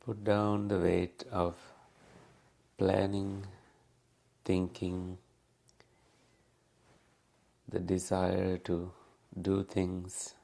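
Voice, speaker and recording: A man leading a guided meditation as a teacher, speaking calmly and unhurriedly with long pauses. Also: low voice, gravelly.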